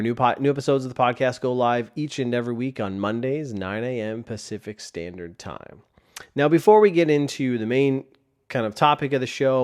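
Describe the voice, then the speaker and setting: Man podcaster with a sore, tired throat; casual rambling host delivery into a desk mic. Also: medium pitch. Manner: rambling with frequent restarts. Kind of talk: casual solo podcast talk. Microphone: desk microphone.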